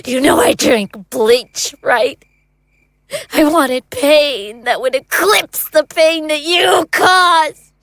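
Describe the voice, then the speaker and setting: A woman's voice: high-pitched, slow, fairly bright tone, no echo, full character voice. A woman playing a bitter, betrayed and vengeful woman in a horror audio drama. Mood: vengeful, furious.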